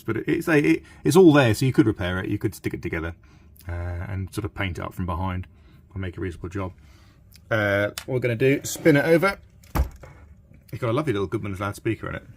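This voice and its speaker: A man's voice: lowish voice, lively intonation, fairly quick. A British man in his fifties chatting casually and thinking aloud as he gives a hands-on workshop demonstration. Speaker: vintage radio repairer presenting a video. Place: small cluttered electronics workshop, indoors. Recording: clearly noisy workshop with background ambience.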